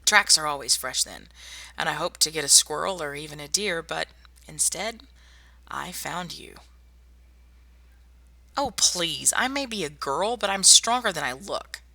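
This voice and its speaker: A woman playing a tough, wry young survivor in a zombie apocalypse ASMR roleplay, speaking softly and intimately to one listener. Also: deep voice, moderate pace, creaky, dry recording, exaggerated voice. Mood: wry, friendly. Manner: soft-spoken, intimate, addressing one listener. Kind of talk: ASMR roleplay audio drama.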